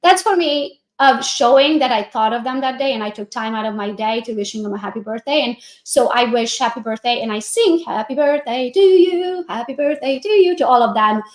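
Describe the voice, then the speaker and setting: A woman with a Hungarian accent, a real estate coach giving an energetic, chatty walkthrough on a live stream and talking straight to her viewers. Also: on the high side, a little fast, hardly any pauses, echoey room.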